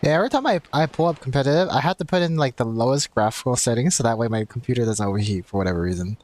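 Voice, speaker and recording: A man's voice: medium pitch, expressive, racing, a little mellow. A young man livestreaming a game, chatting casually in a rambling, conversational way. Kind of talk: casual chat while gaming. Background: game music.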